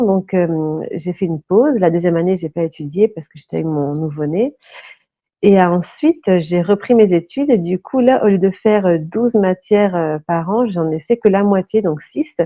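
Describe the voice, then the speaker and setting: A woman's voice: on the low side, normal speed, mellow, dry recording. A woman in her thirties from Brittany talks through her story as an interview guest. She sounds earnest and reflective and stops often to search for the right word. The sound is dull and lo-fi, with a dry, close feel. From Brittany, France. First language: French. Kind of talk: casual interview talk.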